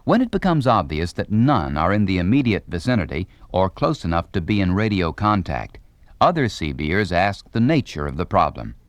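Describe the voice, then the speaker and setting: A man's voice: sits low, big pitch swings, moderate pace, dry recording. A man reading scripted instructional narration with a polished, formal announcer delivery.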